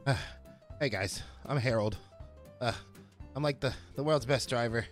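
A man voicing a boastful, out-of-shape getaway driver who talks haltingly and out of breath for a game roleplay. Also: mid-range voice, faint music behind, close to an everyday voice. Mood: winded, boastful.

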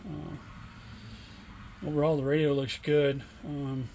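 A middle-aged man giving a casual, unscripted product demonstration in a plainspoken, halting way. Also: a little high, little pitch movement, gravelly, a little mellow, rough recording. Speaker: online seller demonstrating used radio equipment. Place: indoor home workshop bench. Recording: room noise, homemade camera recording.

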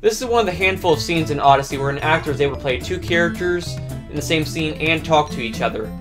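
A man's voice: on the high side, animated, fast, music in the background. A young adult man hosting a countdown review video in scripted narration.

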